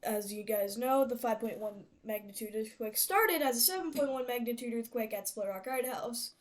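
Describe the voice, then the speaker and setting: A woman's voice: fairly high pitch, normal speed, natural voice. A woman playing a composed local news anchor, reading a scripted bulletin in a formal, presentational way. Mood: composed, serious.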